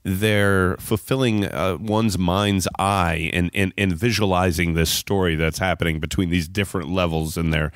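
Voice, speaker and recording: A man's voice: sits low, fairly even intonation, moderate pace, few pauses, no room sound, clean studio sound. A man hosting an interview podcast, talking effusively and wordily in circling phrases. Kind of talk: enthusiastic interview talk.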